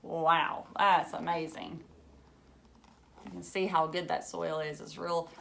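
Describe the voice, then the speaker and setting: A woman teacher reading a picture book aloud to young children, pausing for enthusiastic asides, simple explanations and questions to her listeners. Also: low voice, fairly even intonation, creaky, a bit bright, a little hiss or hum.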